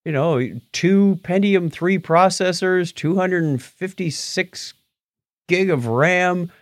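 A man's voice: sits high, slow pace, no room sound, studio quality. A man co-hosting a casual tech podcast, rambling and correcting himself as he talks. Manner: rambling, self-correcting, lively. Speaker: podcast co-host.

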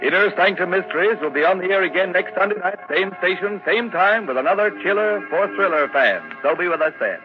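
High-pitched 1940s radio announcer over music. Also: male voice, animated, fairly quick, hardly any pauses. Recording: dull old radio broadcast with music.

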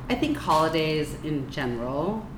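A woman hosting a talk show, speaking supportively and deliberately to her guest. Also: low voice, very animated. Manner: warm, affirming, slow and deliberate. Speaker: host. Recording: roomy echo with clear background noise.

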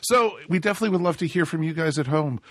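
A man's voice: on the high side, racing, no echo, studio quality. A man hosting a casual live podcast, a little scratchy from a cold, rambling with lots of fillers. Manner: rambling, many fillers and restarts. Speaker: podcast host.

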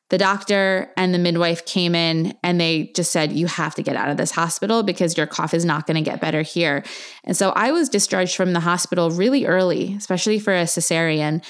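A woman in her thirties, born in Argentina and raised in the U.S., candidly recounting her son's birth in an earnest, reflective monologue. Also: lowish voice. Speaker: mother telling her birth story. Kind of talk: personal storytelling monologue.

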